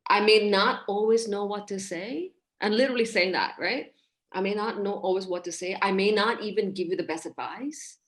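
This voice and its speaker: A woman in her thirties from Hong Kong, a relationship coach talking in a casual podcast interview over a video call, expressive and gesturing as she speaks. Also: mid-range voice, moderate pace. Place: small room indoors.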